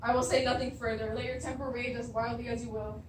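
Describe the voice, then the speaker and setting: A stern, accusatory blind seer from a stage play acted by a young female student. The voice is somewhat high and solemn, heard from far off in a roomy space with clear background noise. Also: little pitch movement, quick pace, natural voice. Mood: resolute refusal. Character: stern, defiant blind prophet of doom. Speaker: drama student. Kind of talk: beginner stage readers' theatre.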